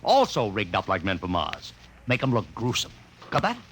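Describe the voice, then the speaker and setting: A man's voice: on the low side, huge pitch swings, normal speed, fairly bright tone, slight background noise. An actor in a 1950s radio drama playing a cocky, scheming publicity agent with slangy salesman's patter, on a vintage recording. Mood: brisk, bossy. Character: brash, fast-talking male publicity agent, cocky and scheming.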